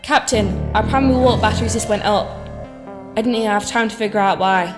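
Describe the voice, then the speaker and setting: A woman's voice: medium pitch, quick pace, close to an everyday voice. An actress playing a decisive, urgent female chief engineer in a science fiction audio drama. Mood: businesslike. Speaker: actor. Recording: roomy echo, music underneath.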